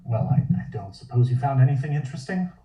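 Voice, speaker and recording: A man's voice: mid-range voice, animated, fast, mellow, natural voice. A man playing a mild, hesitant police detective in a table read, speaking tentatively. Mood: tentative, probing. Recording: dull, echoey, distant from the mic.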